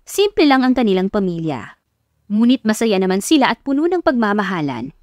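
A woman's voice: mid-range voice, big pitch swings, a little fast, a little mellow, no echo, studio quality. A woman with a Filipino accent reading a scripted story as an audiobook narrator.

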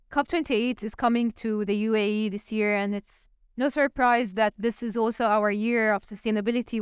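A young woman moderating a live panel on stage with a handheld mic, engaging the audience with quick polls. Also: a little high, smooth, no room sound, clean studio sound. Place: stage in a large event hall.

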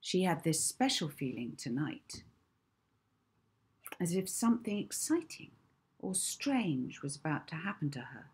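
A woman in her fifties reading her own children's book aloud as an expressive, deliberate storyteller. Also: low voice, slightly rough. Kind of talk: children's audiobook narration. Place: small indoor room at home.

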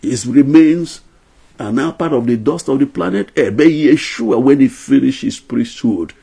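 A man's voice: mid-range voice, moderate pace, vocal fry, mellow, husky. An older man teaching a Bible lesson to camera in a small room at home, earnest and emphatic, counting out his numbered points.

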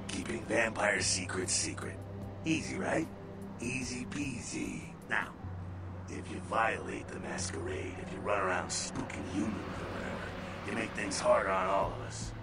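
An actor playing a brash, irreverent older American vampire mentor in a video game, folksy and slangy with mocking asides. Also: male voice, low-pitched, recorded from a distance, full character voice. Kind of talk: video game character dialogue.

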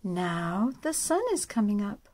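A woman reading a picture book aloud to young children, often hushed, with exaggerated wonder. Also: medium pitch, sing-song, a little slow. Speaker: storyteller and children's music teacher.

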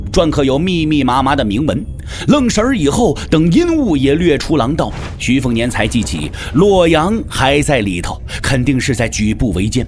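A man giving a dramatic scripted narration of a martial arts story. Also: mid-range voice.

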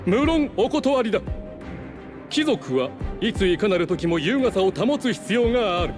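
Pompous, higher-voiced scheming nobleman. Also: very animated, a little slow. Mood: disdainful. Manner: pompous, formal, condescending. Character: haughty scheming nobleman of a fallen clan. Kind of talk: Japanese game voice acting. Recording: game music behind the voice.

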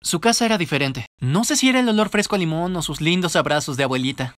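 A man narrating an animated storytime video in an expressive, comedic and self-deprecating way. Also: on the high side, fast, no room sound, studio quality.

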